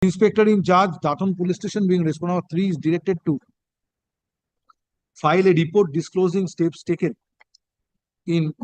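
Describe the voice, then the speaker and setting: A man with a Bengali Indian accent speaking as a judge, dictating a court order in halting, deliberate phrases and calling out punctuation. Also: sits high, fairly even intonation, darkish tone, dry recording, poor audio.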